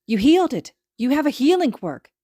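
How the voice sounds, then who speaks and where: A woman voicing a brash, mischievous, excitable young boy who exclaims in casual slang, in an audiobook. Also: high voice, fairly quick, dry recording, clean studio sound, pitched above the performer's own voice. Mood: excited. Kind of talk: fan fiction audiobook acting.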